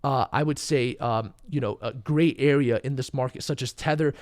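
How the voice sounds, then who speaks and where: A young man hosting a live crypto trading stream, a little hoarse and under the weather, talking casually and answering chat questions. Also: mid-range voice, moderate pace, darkish tone. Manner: casual, chatty, uses fillers, reads chat questions. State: losing his voice, a bit sick. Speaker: crypto trading channel host. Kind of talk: live stream market commentary.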